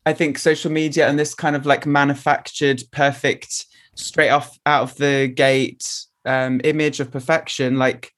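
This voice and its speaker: A man's voice: mid-range voice, little pitch movement, measured pace, fairly bright tone. A man speaking earnestly as an interview guest, open and encouraging. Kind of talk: candid conversational interview.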